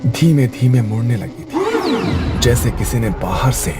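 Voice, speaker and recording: A man's voice: mid-range voice, animated, a little slow, loud music behind the voice. A man narrating a scripted horror story in a suspenseful storytelling manner.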